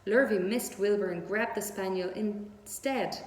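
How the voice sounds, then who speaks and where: A woman's voice: mid-range voice, unhurried, a little mellow. A woman reading a children's story aloud as narrator. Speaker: story reader. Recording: echoey room with long reverb.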